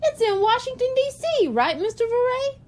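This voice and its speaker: A woman puts on a cheeky little first-grade boy for a children's storybook. The voice is very high and swoops around, blurting things out with outspoken curiosity. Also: sing-song, moderate pace. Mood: excited, curious. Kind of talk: children's audiobook character reading.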